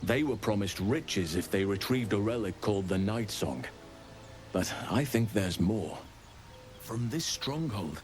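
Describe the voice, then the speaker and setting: A fantasy game druid, acted by a man, speaks in a fairly deep voice with grave, measured formality. He is earnest and learned, a leader carrying old regret, with music playing behind his words. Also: close to an everyday voice. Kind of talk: dramatic fantasy video game dialogue.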